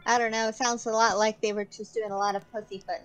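A woman's voice: a little high, little pitch movement, fast. A woman teasing and chatting casually while voice acting a game playthrough. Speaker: amateur voice actor in a game playthrough. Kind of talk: casual chat, teasing banter.

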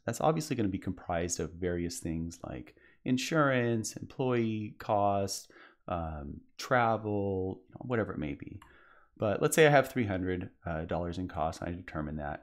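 A man presenting a conference session, explaining in a casual way with frequent fillers and restarts. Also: sits low. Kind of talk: business presentation.

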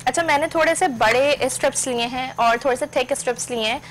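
A woman's voice: high-pitched, quick pace, noisy background. A middle-aged woman hosting a cooking show from a studio kitchen, explaining each step in a chatty, instructive way and mixing in English cooking terms.

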